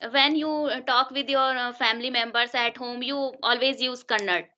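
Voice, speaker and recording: Polite hesitant woman, bright voice. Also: a little high, steady tone, moderate pace, highs cut off. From Rajasthan, India. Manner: hesitant, polite, says ma'am often. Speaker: guest, homemaker. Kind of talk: conversation practice.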